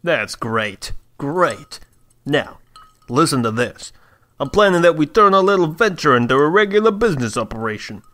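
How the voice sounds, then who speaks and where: A man's voice: on the high side, sing-song, normal speed, fairly bright tone. An actor playing a scheming, self-satisfied gangster boss with a gloating salesman's delivery, in radio adventure style. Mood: smug.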